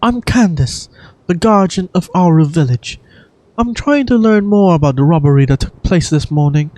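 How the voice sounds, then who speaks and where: An amateur voice actor playing a calm, courteous female guardian from a video game, speaking in a measured, cautioning way. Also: mid-range voice, no room sound, close to an everyday voice. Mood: courteous, firm.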